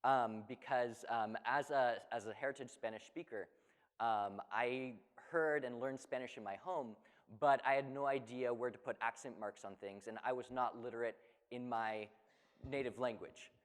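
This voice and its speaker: Man, startup cofounder, halting speech full of ums, long hall reverb. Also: mid-range voice, fairly even intonation. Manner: hesitant, many ums and restarts. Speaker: startup cofounder, creative director. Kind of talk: audience Q&A pitch answer. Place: event hall.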